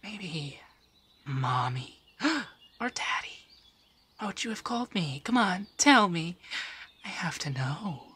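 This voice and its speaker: A man voicing an affectionate, teasing femboy monster companion in a soft, breathy, shyly stammering ASMR roleplay. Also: high-pitched, very animated, takes it slowly, pauses often, slight background noise, heavily acted. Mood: chatty, playful.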